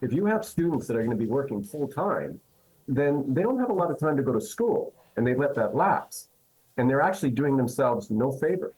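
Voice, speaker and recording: A man with a husky, slightly rough voice makes his case in a news interview. He speaks emphatically, pressing points with rhetorical questions, and his voice sits at an ordinary middle pitch. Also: sing-song, brisk, a little mellow, poor audio. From Canada. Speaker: immigration lawyer.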